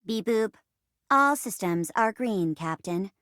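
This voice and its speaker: A woman's voice: medium pitch, expressive, slow, a bit bright, no echo, clean studio sound. A woman voicing a dutiful, earnest robot assistant on a starship, with clipped, formal, literal delivery in a game. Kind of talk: theatrical game voice acting.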